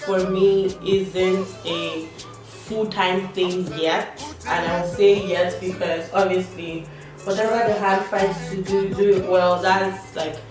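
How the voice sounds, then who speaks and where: A young woman chatting candidly while doing her makeup, with slangy asides. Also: fairly low pitch. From Nigeria. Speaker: beauty vlogger. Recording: echoey room, distant mic, background music. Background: background music.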